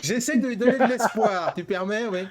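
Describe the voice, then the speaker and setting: Podcast panelist, male, slightly high-pitched, jokey and teasing, prone to repetition. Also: a little fast, a loud crowd. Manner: joking, teasing, repeats himself. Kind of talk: casual banter.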